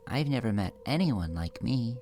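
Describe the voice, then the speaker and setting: A man's voice: medium pitch, expressive, moderate pace, darkish tone, soft background music, pitched above the performer's own voice, close to an everyday voice. A man voicing a small, earnest, stubborn young girl in a horror audio drama, with a plain, childlike manner. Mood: frank, solemn.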